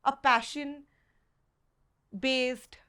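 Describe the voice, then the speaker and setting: A woman's voice: sits high, steady tone, drawn-out words, a bit bright. A young woman from Karnataka with a South Indian Kannada accent, chatting casually as a podcast guest and filling her talk with "like" and "you know". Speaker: guest photographer.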